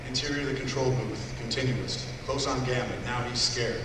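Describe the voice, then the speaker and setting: A man with a mid-pitched voice reads script directions at a live table read. He is far from the mic in an echoing hall with noise. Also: steady tone, brisk. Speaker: voice actor reading stage directions.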